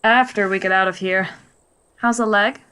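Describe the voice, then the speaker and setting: A woman's voice: mid-range voice, fairly even intonation, normal speed, sharp tone, clear, resonant tone, close to an everyday voice. A woman playing the blunt, exasperated wife of a superhero in a radio play, pointed and reproachful. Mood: dry, probing.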